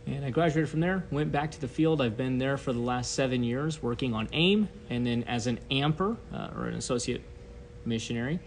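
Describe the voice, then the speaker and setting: Man in his thirties, raised in Japan, precise, fond of technicalities, average pitch. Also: a little fast, slight background noise. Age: 30s. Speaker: podcast guest, missionary. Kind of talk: casual chat.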